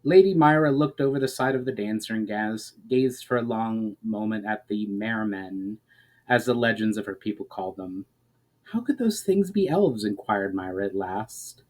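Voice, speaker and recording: A man's voice: fairly low pitch, fairly even intonation, moderate pace, darkish tone, poor audio. A man narrating a fantasy story as a dramatic reading.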